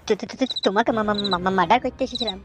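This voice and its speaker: A man's voice: high voice, fast talker, background noise, lightly acted. A man voicing a cowering, frightened henchman in a comedy cartoon, stammering and begging for mercy. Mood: terrified. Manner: pleading, stammering.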